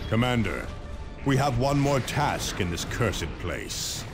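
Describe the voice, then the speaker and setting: A solemn officer in a war game. His mid-range voice moves expressively and carries command. Loud music and gunfire run behind it. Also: medium pitch, big pitch swings, slow pace. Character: commanding, solemn male space marine officer. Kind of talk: dramatic video game acting.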